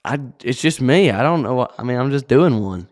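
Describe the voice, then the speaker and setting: A man's voice: medium pitch, animated, fairly quick, a little mellow. Young male co-host, North Carolina country accent, easygoing and agreeable. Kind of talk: casual podcast chat.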